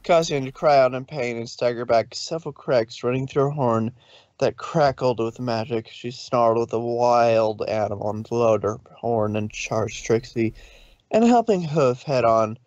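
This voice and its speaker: A man's voice: mid-range voice, moderate pace, darkish tone, no echo, muffled recording. A man narrating a story informally, with a loose delivery in which he sometimes corrects himself. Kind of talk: informal fan fiction reading.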